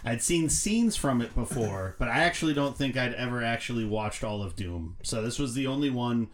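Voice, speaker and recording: A man's voice: mid-range voice, animated, moderate pace. Rambling man in casual podcast chat. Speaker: podcast co-host.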